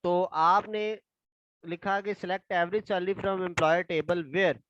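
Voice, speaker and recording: Hoarse high-voiced male tutor. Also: fairly even intonation, a bit bright, no echo, lo-fi sound. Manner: reads questions out slowly, then explains step by step. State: hoarse from a throat infection. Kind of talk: explaining exam solutions.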